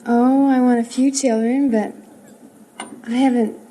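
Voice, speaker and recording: Young lady contestant chatting w the host, highish voice, bit of background noise. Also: steady tone, unhurried. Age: young adult. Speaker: quiz contestant. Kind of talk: casual answers to a host.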